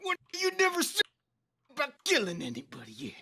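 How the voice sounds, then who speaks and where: A woman's voice: sits high, wildly swooping pitch, fairly quick, pushed and bright, exaggerated voice. A woman playing a kindly, simple, timid male giant in an earnest table read. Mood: worried, protesting.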